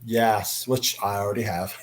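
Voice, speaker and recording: A man's voice: on the low side, steady tone, a little slow. A man moderating a casual panel chat, talking conversationally as an enthusiastic fan.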